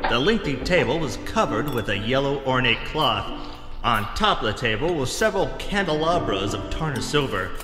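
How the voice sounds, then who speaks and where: A man playing a self-important adventurer, narrating in a deadpan, melodramatic parody of a pulp radio serial. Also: medium pitch, lightly acted. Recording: reverberant voice under loud music.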